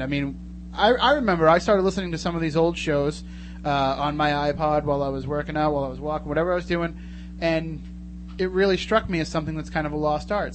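A man hosting a radio show with casual, joking, chatty interview banter. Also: a little high. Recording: noticeable hum and background noise.

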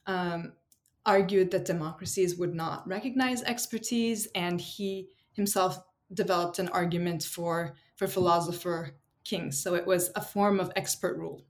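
Academic guest, woman, 30s, from Turkey; measured, reflective, pauses with ums. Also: fairly low pitch, steady tone. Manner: thoughtful, many ums and restarts. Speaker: political science professor, guest. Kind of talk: academic interview answers.

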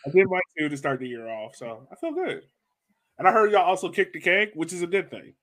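A man with a fairly high voice hosts a relaxed sports podcast. He talks informally and colloquially, leaning on his points with emphasis. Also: brisk. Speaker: podcast host. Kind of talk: casual sports podcast chat.

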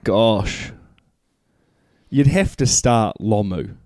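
A man's voice: fairly low pitch, drawn-out words, a little mellow, no echo, clean studio sound. A young man co-hosting a casual rugby podcast, joking and laughing with his co-host. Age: young adult. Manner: jokey, laughs often, teases co-host.

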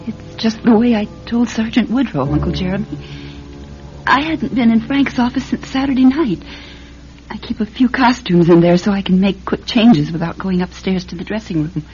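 A woman's voice: mid-range voice, lively intonation, moderate pace, close to an everyday voice. An actress playing a troubled young woman in a radio drama, anxious, affectionate and vulnerable. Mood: shaken. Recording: dull radio recording, loud music behind.

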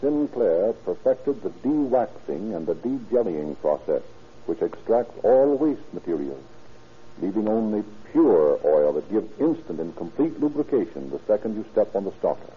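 Old time radio announcer guy selling stuff, formal, really rough husky voice, tinny narrow sound. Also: on the low side, animated, moderate pace. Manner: formal salesmanlike delivery. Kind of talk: scripted 1930s radio sponsor announcement. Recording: tinny, muffled 1930s radio recording.

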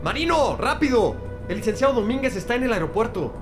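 A man playing an urgent, hurried police colleague in a crime audio drama. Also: very high voice, big pitch swings, over music. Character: urgent male police colleague bursting in with news.

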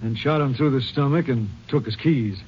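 An actor playing an agreeable, folksy small-county Texas sheriff in a 1950s radio western. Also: male voice, medium pitch, normal speed, slight background noise, close to an everyday voice. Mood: grim. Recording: muffled old radio recording.

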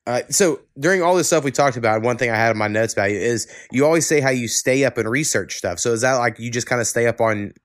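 A man's voice: mid-range voice, fast talker, hardly any pauses, no echo, studio quality. A man hosting a podcast in loose, informal chat, with a self-deprecating way of talking. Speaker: podcast host. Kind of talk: casual conversational podcast talk.

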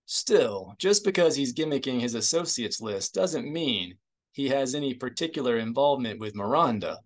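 Male, audiobook narrator, scripted fiction reading, mid-pitched voice. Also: animated, normal speed.

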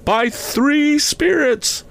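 A man voicing the anguished ghost of a dead businessman in a storybook reading, mournful and declamatory, with drawn-out solemn words. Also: extremely high pitch, vocal fry, fairly bright tone, pitched above the performer's own voice, full character voice. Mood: ominous.

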